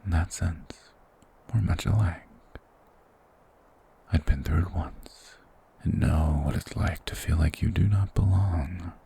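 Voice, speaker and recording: A man voicing a sinister, soothing forest spirit in a fantasy ASMR roleplay, speaking in a hushed, formal, hypnotic and coaxing manner. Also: sits low, slow, pauses often, exaggerated voice. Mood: soothing, persuasive. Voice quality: gravelly, breathy whisper.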